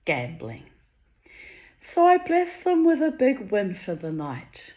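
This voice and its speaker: A Hungarian-born woman reading her own diary entries aloud, wry and theatrical, her voice swinging high and low for effect. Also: wildly swooping pitch, takes it slowly. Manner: wry, theatrical, dramatic swings of pitch and loudness.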